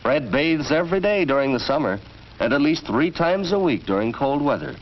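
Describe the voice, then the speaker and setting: A man's voice: on the high side, lively intonation, normal speed. An American man narrating a 1940s educational film from a script, in an instructive, storytelling manner. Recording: dull vintage film soundtrack, faint noise.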